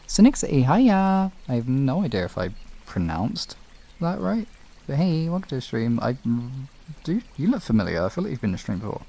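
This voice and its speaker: A man's voice: mid-range voice, very animated, normal speed, gravelly, dark tone. A man with a British accent hosting a livestream, chatting casually and rambling informally with some swearing. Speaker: livestream host. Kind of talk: casual chat with stream viewers.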